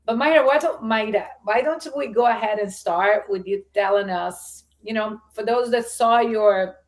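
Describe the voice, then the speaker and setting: A woman's voice: mid-range voice, normal speed, poor audio. A woman from Argentina hosting a live stream, talking in a chatty way and often restarting her sentences. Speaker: host.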